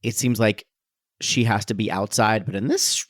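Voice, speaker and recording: A man's voice: lowish voice, fairly even intonation, moderate pace, a bit bright, no echo, studio quality. A man hosting a podcast, chatting casually about pop culture and joking with mock voices. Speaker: podcast host.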